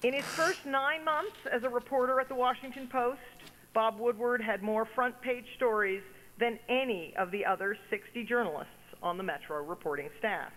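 A woman's voice: on the high side, moderate pace, fairly bright tone. A woman giving a formal, prepared speech as a university provost introducing a guest in a large hall. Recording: hall reverb from an event venue.

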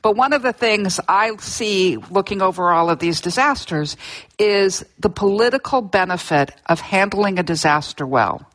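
An older woman from Southern California speaks as an interview guest, her voice sitting somewhat low. She tells history in a plain, explanatory way, often restarting words mid-phrase. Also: fairly bright tone, low-quality recording. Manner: explanatory, with frequent restarts and fillers. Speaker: seismologist guest discussing her book. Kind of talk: relaxed interview storytelling.

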